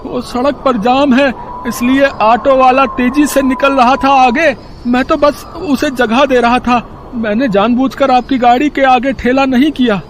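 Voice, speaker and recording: A poor, meek cart vendor, acted by a man pleads in an extremely high voice. He speaks slowly in this moral story, with faint street noise behind. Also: steady tone. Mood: pleading. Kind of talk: animated moral story dialogue.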